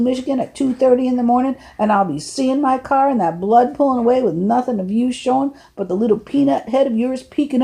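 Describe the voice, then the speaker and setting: A woman's voice: fairly high pitch, gravelly, a little mellow, voice pushed up, low-quality recording. A woman reader voicing a jovial, teasing older man, a grandfather, in a folksy, joking way.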